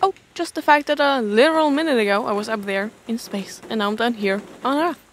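A woman's voice: on the high side, brisk, a little hiss or hum, close to an everyday voice. A woman acting a weary, stranded astronaut in an audio drama, with a dry, sarcastic manner. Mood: wry, baffled.

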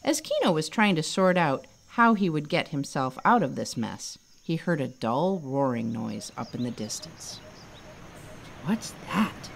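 A woman reading a children's story as narrator, expressive and dramatic. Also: sits low, normal speed, creaky, few pauses, slight background noise. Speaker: author reading own storybook.

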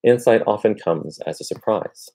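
A man in his thirties with a gravelly, husky voice, reading aloud from an academic book in a halting way, sometimes correcting himself. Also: fairly low pitch, warm tone. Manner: halting, with misreadings and self-corrections. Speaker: amateur book reader. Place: furnished room at home, indoors.

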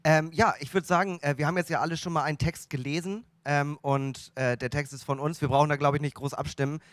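A man's voice: a little high, fairly even intonation, fast talker, fairly bright tone, no room sound. A man hosting a stage show, cracking playful jokes and casually introducing the next act. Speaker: host. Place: stage without audience.

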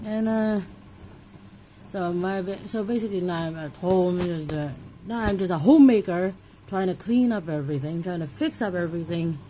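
A woman's voice: on the low side, moderate pace, dark tone, a little hiss or hum, poor audio. A young woman from Hong Kong talking casually at home in a personal vlog, rambling and restarting her sentences as she thinks aloud. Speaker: vlogger. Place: home, indoors.

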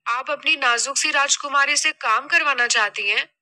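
A woman's voice: high voice, normal speed, bright, forceful tone, pitched above the performer's own voice. A woman voicing a vain, spoiled young daughter in an audio drama, with a whiny, indignant, dramatic manner. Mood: pouting.

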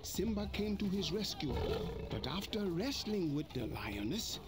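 Slow expressive storybook narrator, man. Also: sits high, over loud music.

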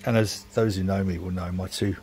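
A man's voice: low voice, steady tone, fairly quick, darkish tone, a little hiss or hum. A man, rambling casually and self-mockingly while filming his home brewery workshop on a handheld phone.

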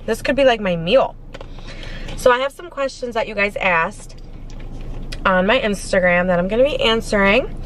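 A woman's voice: mid-range voice, normal speed, long pauses, fairly bright tone, poor audio. A woman in her twenties with an American accent, chatting casually and gushingly to camera as she eats in a parked car. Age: 20s. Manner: informal, gushing, talking between bites. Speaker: food vlogger. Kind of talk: casual chatty eating vlog. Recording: faint car and street noise.

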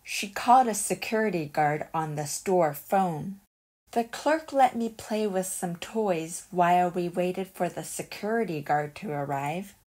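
A woman reading a children's picture book aloud as a storyteller, in an expressive and deliberate way. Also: on the low side.

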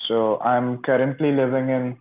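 A man's voice: medium pitch, level tone, moderate pace. Young Pakistani man on a tinny line. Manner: hesitant, short answers with many uh's. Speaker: English teacher practicing conversation. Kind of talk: casual video-call conversation. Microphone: phone held close.

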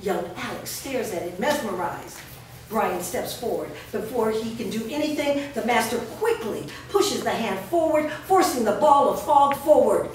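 A woman's voice: a little high, lively intonation, moderate pace, a little mellow. Distant, echoing woman reading stage directions. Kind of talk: scripted narration at a staged reading. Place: bare studio stage room, indoors. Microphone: far camera. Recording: very echoey room, distant mic, faint noise.

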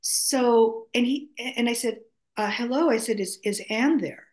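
A woman's voice: a little high, measured pace, a little mellow. An older woman whose first language is Greek, speaking as an author guest over a video call, telling stories in a reflective way with many restarts. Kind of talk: conversational storytelling. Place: home room, video call.

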